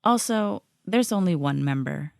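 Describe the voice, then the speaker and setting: A woman voicing a composed, helpful teenage girl student who speaks in a calm, courteous way, in a fan audio drama. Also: fairly low pitch, measured pace, creaky, dry recording, studio quality.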